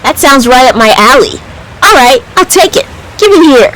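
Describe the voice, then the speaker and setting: A woman's voice: high voice, big pitch swings, normal speed, a bit bright. A woman voicing a brash, grumpy, impatient young man from a video game, talking bluntly and casually. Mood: resigned, blunt. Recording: clearly noisy background.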